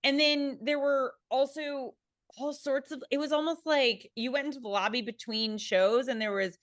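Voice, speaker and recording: A woman's voice: high-pitched, steady tone, normal speed, dry recording. Reflective woman in dry, casual discussion. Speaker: theatre panelist.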